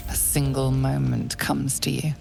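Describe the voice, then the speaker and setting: A brooding female storyteller for a grim fantasy game. Her voice is extremely low with a gravelly creak, and she delivers ornate lines slowly and ominously over music. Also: level tone, exaggerated voice. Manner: deliberate, ominous, literary phrasing. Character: dark, brooding narrator of a grim fantasy tale, woman. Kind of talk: scripted dramatic second-person game narration. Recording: music behind the voice.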